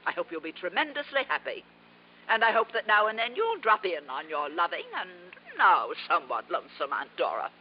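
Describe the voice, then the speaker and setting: An actress playing a wealthy, willful old aunt, eccentric and secretly sentimental, in theatrical 1950s radio drama style. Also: female voice, fairly low pitch, very animated, fairly quick. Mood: gleeful, fond. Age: older. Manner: theatrical, imperious. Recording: muffled, noisy vintage radio recording.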